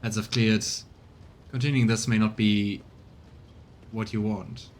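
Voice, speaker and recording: A man's voice: little pitch movement, measured pace, darkish tone, lightly acted. A man playing an earnest, restrained inquisition agent in a video game, speaking formally and hesitantly to a lover. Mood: hesitant, grave. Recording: low rumbling background noise.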